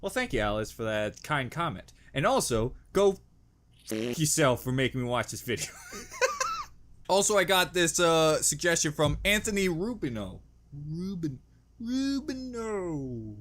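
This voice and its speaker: A man's voice: fairly high pitch, big pitch swings, a little slow, a bit bright, a little hiss or hum. A man hosting a reaction video with casual, joking, exaggerated and profane commentary.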